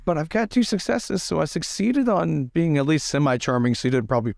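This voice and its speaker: A man's voice: a little high, a little fast, creaky, darkish tone, dry recording, clean studio sound. A man talking casually as a player in a tabletop roleplaying game.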